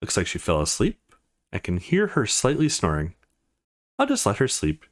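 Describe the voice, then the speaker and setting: Man reading as a brooding young man, animated pitch. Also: moderate pace. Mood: brooding, questioning. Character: young man, visual novel protagonist, conflicted and brooding. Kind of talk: reading visual novel inner monologue.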